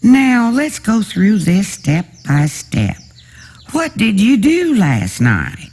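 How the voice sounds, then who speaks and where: A man's voice: high voice, huge pitch swings, takes it slowly, darkish tone, husky, voice pushed up, exaggerated voice. A man voicing a kindly, amused female nurse in a storybook, patiently questioning and explaining. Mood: concerned.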